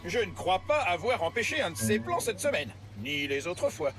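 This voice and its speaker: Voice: medium pitch, big pitch swings, moderate pace, lightly acted. An actor voicing a by-the-book, upbeat police vehicle officer, instructing youngsters in a theatrical, earnest way in a French cartoon dub. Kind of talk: French animated preschool series dubbing. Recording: music behind the voice.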